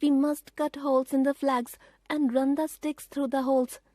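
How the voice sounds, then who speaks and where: A woman's voice: high-pitched, flat delivery, normal speed, mellow, no room sound, studio quality, voice pushed up, natural voice. A woman voicing a brave, businesslike young boy who speaks promptly and with urgency.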